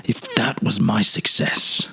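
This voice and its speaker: Man voicing a low, husky, anguished statesman. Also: huge pitch swings, bright, forceful tone, heavily acted. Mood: anguished, regretful. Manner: halting, heavy, confessional. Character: anguished cabinet minister confessing secret obsessive grief. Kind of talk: dramatic literary reading.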